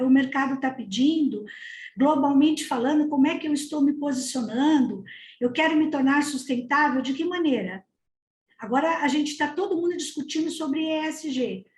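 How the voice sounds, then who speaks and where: A woman's voice: sits high, darkish tone. A woman speaking earnestly on a panel, asking rhetorical questions. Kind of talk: webinar panel discussion. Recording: echoey room.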